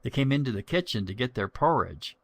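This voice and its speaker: A man narrating a children's story from a script in a measured, expressive way. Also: mid-range voice.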